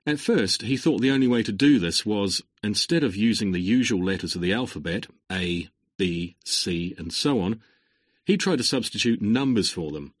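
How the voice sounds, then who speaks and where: Measured male lecturer, slightly husky. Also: fairly low pitch, lively intonation, dry recording, clean studio sound. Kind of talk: scripted exam-recording lecture.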